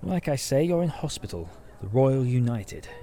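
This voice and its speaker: A man playing a calm, frank hospital physician in an audio drama, explaining things in a measured, clinical way. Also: medium pitch. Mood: matter-of-fact. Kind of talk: naturalistic audio drama acting.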